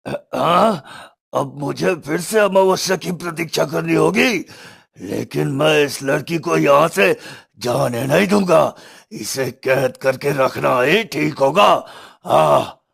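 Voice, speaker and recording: Man acting a gravelly, menacing cartoon sorcerer. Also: a little high, a bit bright, exaggerated voice. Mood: thwarted, scheming. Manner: theatrical, drawn-out, gloating. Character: menacing male sorcerer plotting human sacrifices in a cave. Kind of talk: Hindi cartoon story voice acting.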